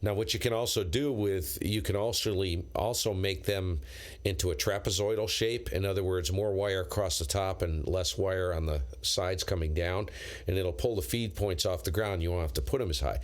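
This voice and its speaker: A man with a very low voice and an American accent talks off the cuff as an amateur radio operator on a live stream. He rambles in blunt, slangy, casual phrases. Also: moderate pace. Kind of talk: casual unscripted chat with viewers, on-air call signs.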